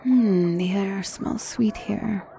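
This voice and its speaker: A woman playing a poised, formal demonic tutor in a fantasy ASMR roleplay, speaking as a wise and gently reassuring mentor with measured, courtly phrasing. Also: mid-range voice, gravelly, a little hiss or hum. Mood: warm, inviting. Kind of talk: ASMR fantasy roleplay, scripted character acting.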